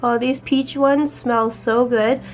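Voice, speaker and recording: Chatty young woman, slightly raspy. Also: a little high, slow, faint music behind. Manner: relaxed, chatty, explains each step. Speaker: beauty vlogger. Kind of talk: casual makeup chat.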